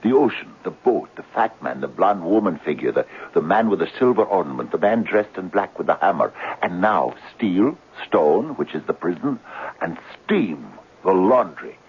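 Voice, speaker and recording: An actor playing an effusive, meddling best pal in a comic radio drama, theatrical and cajoling. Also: male voice, low-pitched, big pitch swings, normal speed, husky, full character voice. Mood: awed, excited. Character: excitable, meddling best pal, a fervent believer in fate. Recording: tinny, hissy old radio broadcast.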